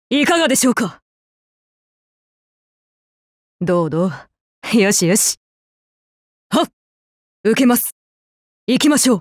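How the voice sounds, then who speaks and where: A woman performs a gallant young male swordsman general for a video game. The voice is high and swings widely in pitch, with unhurried, noble and formal declarations fit for a courteous battlefield commander. It sounds dry and close, over faint music. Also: no echo, lightly acted. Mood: calm, resolute. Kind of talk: Japanese game battle voice lines.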